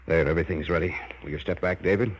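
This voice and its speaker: A man playing a confident, intent scientist in an old radio horror drama, with a grave, deliberate delivery. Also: deep voice, fairly even intonation, close to an everyday voice. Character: confident male scientist intent on raising the dead. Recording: muffled old radio recording, loud noise.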